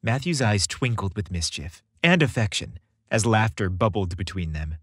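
Man, low voice, lively intonation, husky, romantic audiobook-style narration. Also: moderate pace, no room sound, clean studio sound. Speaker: podcast story narrator. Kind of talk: scripted romantic story narration.

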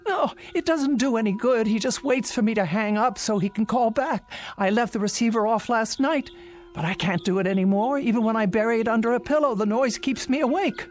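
A woman's voice: on the high side, fairly quick, darkish tone, close to an everyday voice. An older actress playing an anxious, insistent, pleading elderly invalid woman in a radio drama. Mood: agitated demanding. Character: frightened, fretful elderly invalid woman living alone.

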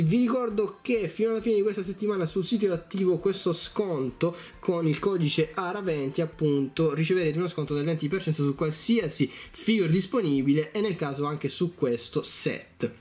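Man, fairly high pitch, soft dark timbre, enthusiastic casual reviewer, repetitive. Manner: enthusiastic, repetitive, addresses viewers informally. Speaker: toy reviewer, video host. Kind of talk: casual product review to camera.

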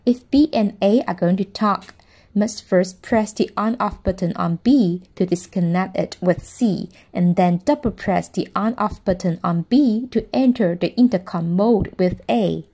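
A woman narrating a scripted product tutorial in a measured, step-by-step instructional manner. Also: mid-range voice, mellow.

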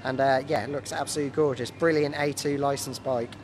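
A man in his thirties with a slightly croaky voice from a cold, casually presenting stock as a dealer on a live walkaround. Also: medium pitch, fairly even intonation, fast. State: has a cold. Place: large indoor motorbike warehouse showroom. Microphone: handheld phone. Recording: noisy handheld phone in warehouse.

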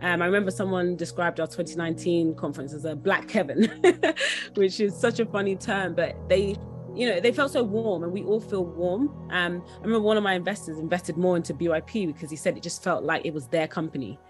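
A woman's voice: on the low side, brisk, over music. A young woman speaking conversationally and enthusiastically as an interview guest on a video call, repeating words for emphasis. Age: young adult. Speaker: interview guest, company founder.

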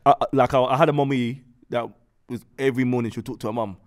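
A man whose first language is Arabic, chatting in casual studio banter, animated, laughing often and repeating his points. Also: medium pitch, fast talker. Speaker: podcast panelist. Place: studio with dark curtains. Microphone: studio mic on desk stand.

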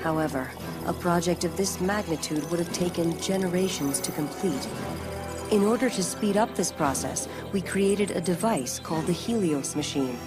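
A woman playing a composed, formal young female Vulcan scientist in a sci-fi game, speaking in a measured, precise way. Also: fairly low pitch, lightly acted. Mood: grave, composed. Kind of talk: scripted sci-fi game acting. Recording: music loud under the voice.

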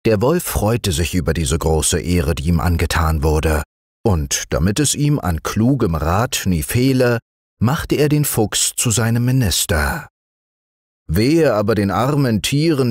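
A male storyteller reads a bedtime fairy tale at a very slow, soothing pace. His voice rises and falls with lots of expression. The sound is close and completely dry. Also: on the low side, no echo. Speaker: storyteller reading fairy tales. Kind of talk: calm bedtime audiobook narration.

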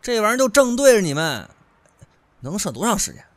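An eager, nosy young man chatters away in a very high, rapid voice that leaps up and down, as an actor plays him. He sounds excited and talkative, with music playing behind him in this radio drama.

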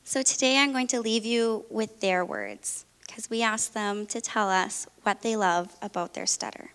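A young woman speech therapist giving an earnest, measured presentation on stage. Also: mid-range voice, lively intonation, a bit bright, clear, resonant tone. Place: large auditorium stage. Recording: long hall reverb.

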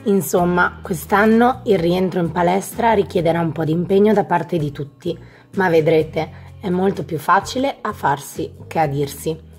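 A woman's voice: on the low side, normal speed, a little mellow. A woman reading scripted instructional narration, addressing young athletes in an explanatory manner. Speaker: gym staff narrator. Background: background music.

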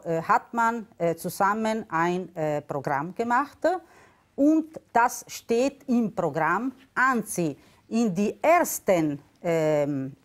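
A woman in her fifties whose first language is Italian speaks slowly, with many pauses as she hunts for German words. Her voice sits a little low. She is on a lapel mic in a TV studio debate. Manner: hesitant, searching for German words. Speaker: election candidate. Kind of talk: TV panel debate answer.

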